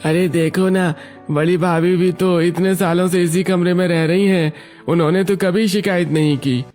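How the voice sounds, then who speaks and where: A man's voice: high voice, steady tone, moderate pace, a little mellow, faint music behind, natural voice. A man playing a young brother-in-law and husband in a cartoon family story, earnest and placating. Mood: pleading.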